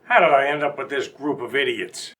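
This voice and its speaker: A man's voice: medium pitch, fairly quick, a bit bright, a little hiss or hum, natural voice. Sardonic, pedantic grouch with a medium voice, acted by a man, for a radio play. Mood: sour, sarcastic. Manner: sarcastic, curt. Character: sour, sardonic, pedantic male radio actor. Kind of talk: radio play comedy acting.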